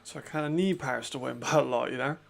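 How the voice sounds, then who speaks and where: A man hosting a watch-along, giving casual live commentary with a raspy voice, chatty and laughing often. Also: medium pitch, fast. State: hay fever.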